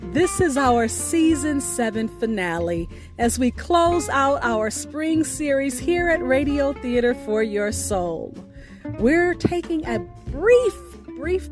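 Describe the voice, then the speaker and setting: A woman with a notably high voice hosts a podcast over soft music. She takes her time, stretching key words for emphasis. Her delivery is expressive and deliberate, like a prepared reading. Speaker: podcast host. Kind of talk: scripted podcast sign-off with a recited poem.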